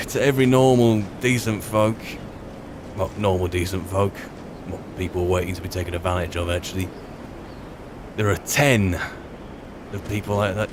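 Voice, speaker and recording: A lowish-pitched man voicing a male adventurer in a fantasy game roleplay. He muses to himself hesitantly, with wry politeness and a grumbling streak. Noisy game ambience sits clearly behind the voice. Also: natural voice. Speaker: roleplaying game player and narrator. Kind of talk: in-character improvised gameplay commentary.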